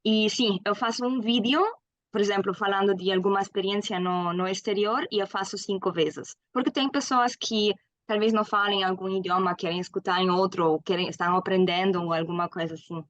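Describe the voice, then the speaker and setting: A woman's voice: medium pitch, fairly even intonation, a little fast, no echo, highs cut off. A German woman in her twenties, a guest in an interview, speaking Portuguese with a German accent, hesitant with many fillers and frequent laughs. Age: 20s. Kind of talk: casual interview.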